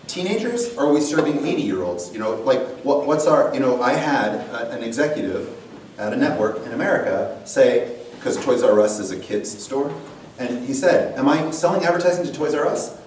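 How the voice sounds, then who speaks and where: A man co-hosting a talk about dance before a live audience, animated and laughing often, repeating his words. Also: fairly high pitch, heavy reverb, recorded from a distance, slight background noise, poor audio. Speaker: dance instructor and show co-host. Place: event room with a live audience.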